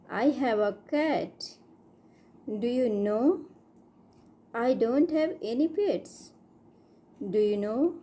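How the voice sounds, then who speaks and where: A woman reading short everyday English phrases for learners, saying each line twice, slowly and clearly. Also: on the high side, dark tone. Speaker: English teacher. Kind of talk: slow repeated phrase drills for learners.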